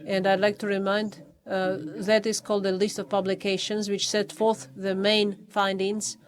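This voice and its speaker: A man reads out his formal review at a doctoral defense. He speaks hesitantly in English, with frequent pauses and false starts. His pitch stays flat and level throughout. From Poland. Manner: halting, many hesitations and restarts. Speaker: official reviewer at a thesis defense.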